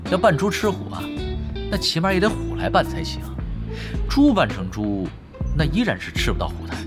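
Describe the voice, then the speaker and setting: A man voicing a laid-back veteran pro gamer in a radio drama, mocking opponents with easy trash talk and soft chuckles. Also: fairly high pitch, huge pitch swings, moderate pace. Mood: teasing. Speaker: team captain. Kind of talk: studio radio drama acting. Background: background music.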